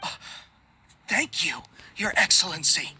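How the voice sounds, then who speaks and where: A man's voice: sits high, very animated, measured pace, pushed and bright, slight background noise, heavily acted. A man playing a proud, dutiful rebel soldier in a video game, formal and deferential toward a superior. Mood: contrite, respectful.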